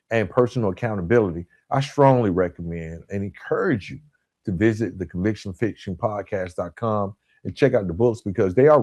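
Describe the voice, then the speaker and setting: Male podcast host, lowish register, close dry sound. Motivational coach delivery, emphatic and direct, repeating key phrases. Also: warm tone, no room sound. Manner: direct, addresses listeners informally.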